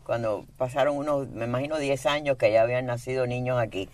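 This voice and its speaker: A man's voice: medium pitch, fairly even intonation, fast, a little mellow. A middle-aged man born in Cuba, co-hosting a talk show and telling reflective anecdotes. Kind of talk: casual studio talk.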